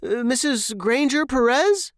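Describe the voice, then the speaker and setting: A man voicing an anxious, polite male scholar in an audiobook story, with a flustered, breathy manner. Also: very high-pitched, animated, unhurried, dry recording, clean studio sound, pitched above the performer's own voice, exaggerated voice. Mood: panicked.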